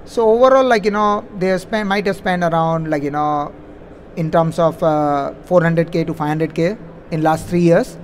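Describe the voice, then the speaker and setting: A man's voice: fairly high pitch, moderate pace. A man answering questions as a conference speaker on stage, talking wordily and padding sentences with a habitual "like, you know". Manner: wordy, repeats "like, you know" as a verbal habit. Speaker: conference speaker, software implementation consultant. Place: on stage in a large conference hall. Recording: faint background noise, live event.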